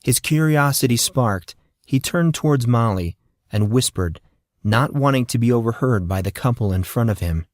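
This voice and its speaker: A man's voice: mid-range voice, animated, moderate pace, no echo, clean studio sound. A man reading scripted fiction narration as an audiobook narrator.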